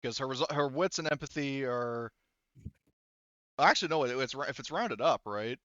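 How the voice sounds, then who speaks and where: A man's voice: medium pitch, lively intonation, normal speed, sharp tone, no echo. Man, game master, clean resonant voice, thinking through dice rolls aloud, dry close sound, a little dull. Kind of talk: running a tabletop roleplaying game.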